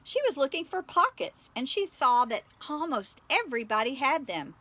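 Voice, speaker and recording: Female storybook reader, expressive delivery, high pitch, bright tone. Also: normal speed. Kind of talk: expressive scripted children's story narration.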